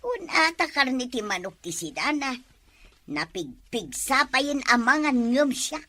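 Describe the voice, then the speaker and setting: A woman acting in a comic village drama, with an excitable, exclamatory way of talking. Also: high-pitched, a little slow, no room sound. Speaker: comedy drama actor.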